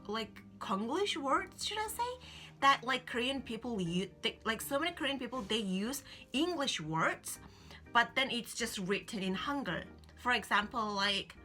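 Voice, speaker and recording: Bright chatty woman, English. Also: a little high. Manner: chatty, many fillers like "like" and "you know". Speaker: vlogger. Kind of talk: casual advice vlog. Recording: faint music behind.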